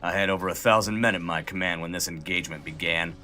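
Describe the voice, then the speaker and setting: A no-nonsense snowman major acted by a man, low and forceful, used to giving orders and weary of war. It is comic audio drama with music underneath. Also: steady tone, fast talker. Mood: grave. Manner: authoritative, no-nonsense. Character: gruff, weary commanding snowman army major.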